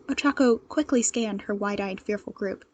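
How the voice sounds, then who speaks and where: A woman reading a fan fiction story aloud as an expressive scripted narrator. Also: mid-range voice, normal speed.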